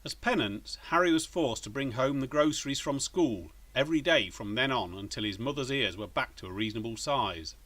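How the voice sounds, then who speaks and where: Bloke reading a kids story, mid pitch, really animated delivery. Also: male voice, fairly quick, hardly any pauses. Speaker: author reading his own story. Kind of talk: animated children's story narration.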